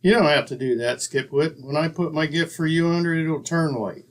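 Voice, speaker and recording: A man's voice: fairly high pitch, a little fast, background noise, lightly acted. A man playing a sardonic, pompous town doctor. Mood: wry. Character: dry-witted, tight-fisted middle-aged male town doctor. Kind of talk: 1940s radio comedy acting.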